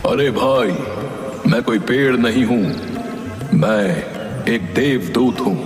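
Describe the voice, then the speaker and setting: A man plays a solemn celestial being imprisoned in a withered tree for an animated tale. He talks slowly and deliberately, with commanding weight. His words ring in a long reverb, with music underneath. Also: mid-range voice, close to an everyday voice. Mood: solemn, instructive. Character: celestial angel trapped as a talking withered tree, male. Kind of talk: Hindi animated horror story dialogue.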